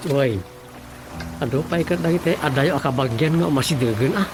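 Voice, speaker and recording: A man's voice: mid-range voice, a little fast, close to an everyday voice. A man with an Ilocano accent playing a folksy, chatty village elder in a radio comedy-drama. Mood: explaining. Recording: music behind the voice.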